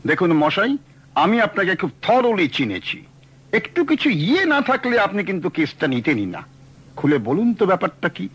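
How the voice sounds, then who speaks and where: A man's voice: sits high, very animated, normal speed, a bit bright, breathy edge, exaggerated voice. An actor playing a boastful, jolly middle-aged male thriller writer in a radio drama, theatrical and grand, slipping English phrases into his talk. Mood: coaxing. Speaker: comic sidekick. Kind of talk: 1970s radio drama acting.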